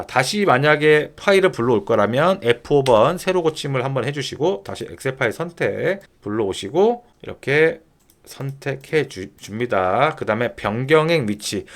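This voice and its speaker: A man giving an informal screen-share software tutorial, going step by step and often posing questions that he then answers himself. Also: fairly high pitch.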